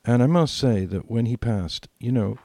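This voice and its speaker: Older man, British accent, mellow dark tone, heavy vocal fry, podcast reminiscence, emphatic repetition. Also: mid-range voice, expressive, moderate pace, no echo. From Britain. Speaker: podcast co-host.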